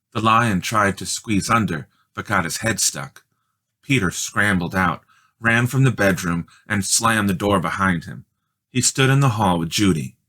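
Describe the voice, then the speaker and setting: A man reading a children's story aloud in expressive, measured, dramatic narration. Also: low voice. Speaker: storybook reader.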